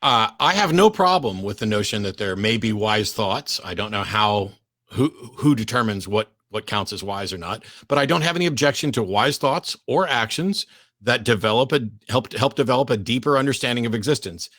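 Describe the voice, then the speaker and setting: A man's voice: lowish voice, expressive, moderate pace, a bit bright, dry recording. Male host pushing back hard, dry studio sound, argues firmly. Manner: firm, argumentative, insistent. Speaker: talk show host. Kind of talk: call-in debate.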